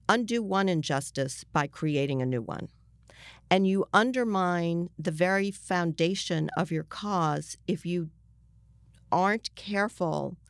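Clear-voiced woman arguing emphatically, dry sound. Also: fairly low pitch, dry recording. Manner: emphatic, insistent, breaking off to rephrase. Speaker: journalist guest on a podcast. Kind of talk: argumentative interview discussion.

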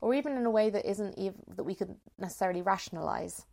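A woman's voice: medium pitch, fast talker, gravelly, darkish tone. A woman in casual, reflective conversation as a writer, phrasing things tentatively and repeating words as she thinks. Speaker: writer discussing her own storytelling project.

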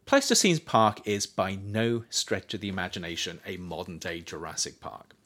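Lively, low-voiced man reading his book. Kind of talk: audiobook narration.

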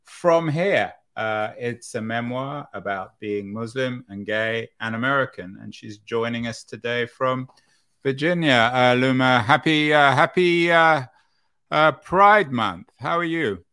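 A man hosting an interview show, introducing his guest and asking questions in a halting way with many pauses. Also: mid-range voice, pushed and bright, clean tone. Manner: halting, many uhs and restarts. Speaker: podcast host.

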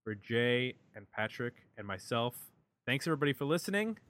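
A man's voice: medium pitch, very animated, a little fast, a bit bright. A man hosting a podcast, talking informally as he discusses records and addresses listeners. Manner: informal, conversational. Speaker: podcast host. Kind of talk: casual album discussion and show sign-off.

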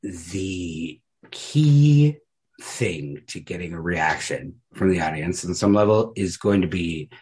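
A man's voice: low-pitched, animated, slow, a little mellow, slightly rough, low-quality recording. A man co-hosting a podcast, talking casually and earnestly about stories in informal, slangy language with plenty of emphasis. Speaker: podcast co-host. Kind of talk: casual literary discussion.

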